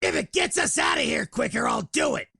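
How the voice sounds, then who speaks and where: A man voicing a sour, sarcastic space rogue with a harsh gravelly rasp in an audio drama. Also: very high-pitched, animated, brisk, sharp tone, dry recording, shouting, studio quality, exaggerated voice. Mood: grudging. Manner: snapping, sarcastic. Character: sour, gruff, foul-mouthed male space villain turned reluctant ally.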